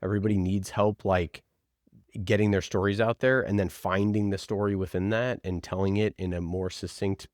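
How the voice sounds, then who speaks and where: A low-pitched man giving conversational answers as an interview guest on a podcast. He is emphatic, often repeating a phrase to drive it home, and the sound is close and dry. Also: flat delivery, normal speed, a little mellow, no echo.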